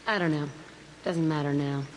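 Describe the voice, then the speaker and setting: A woman's voice: low voice, fairly even intonation, normal speed, a little hiss or hum, lightly acted. A woman voicing a tough, foul-mouthed ex-convict. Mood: bitter. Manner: blunt, profane, bitter. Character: tough, profane young woman, a former test subject. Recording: reverberant with loud game music.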